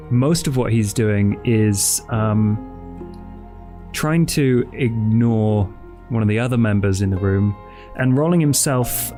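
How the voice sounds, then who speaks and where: A man playing a tabletop game, casually improvising description in a halting way, searching for words, with music behind. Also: mid-range voice, warm tone. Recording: music under the voice. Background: background music.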